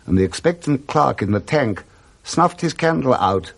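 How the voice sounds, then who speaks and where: A man with an English accent narrating a classic story for 1960s British radio in a measured, theatrical manner. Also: medium pitch, expressive, gravelly.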